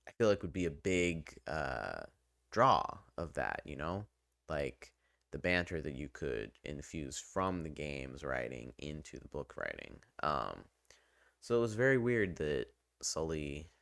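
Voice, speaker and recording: A man with a low-pitched voice gives an informal, unscripted review. He talks conversationally and haltingly, often breaking off for asides. The sound is dry, with very little room in it. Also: vocal fry, a bit bright, dry recording. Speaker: video game reviewer and channel host. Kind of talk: casual unscripted review talk to viewers.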